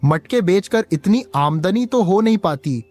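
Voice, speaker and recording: A man's voice: sits high, animated, unhurried, a little mellow, soft background music. A man voicing a poor, patient village pot seller and father in a cartoon, earnest and plaintive. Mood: thoughtful. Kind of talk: Hindi cartoon story dialogue.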